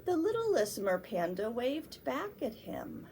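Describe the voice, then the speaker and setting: A woman reads and chats as a children's storytime host, with simple, deliberate phrasing. Her pace is slow and her voice has a little creak in it. There is faint noise behind her. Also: fairly high pitch, big pitch swings. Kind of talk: picture-book read-aloud for small children.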